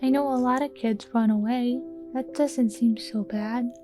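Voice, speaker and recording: A woman doing a sweet young girl in a tabletop game, high-voiced and earnest. She asks soft, worried questions and sounds caring. Faint music plays underneath. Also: fairly even intonation, unhurried, close to an everyday voice. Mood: tender.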